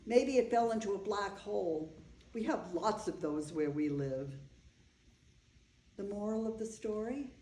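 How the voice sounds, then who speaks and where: Older bride played by a woman for a stage reading: wry, wistful, frank, unhurried delivery, roomy echo. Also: mid-range voice, lively intonation, mellow, slight background noise, close to an everyday voice. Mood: wry storytelling. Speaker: actor. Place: echoey room, live staged reading. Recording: echoey room recording.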